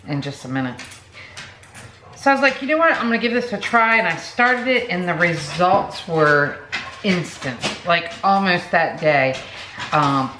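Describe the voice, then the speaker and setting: A woman in her late forties, chatting casually to camera as a vlogger, rambling with many fillers and asides. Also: low voice. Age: 40s. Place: home room. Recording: echoey room with background noise.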